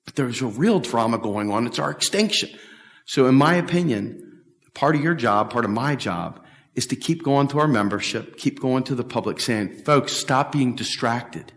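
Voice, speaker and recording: A man's voice: mid-range voice, lively intonation, normal speed, fairly bright tone. Emphatic man speaking in a big hall. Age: middle-aged. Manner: emphatic, repetitive, talks with big hand gestures. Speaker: speaker at a policy event. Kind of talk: animated public talk and Q&A. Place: large bright hall with windows, standing before a stage table. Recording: echoey hall from far off.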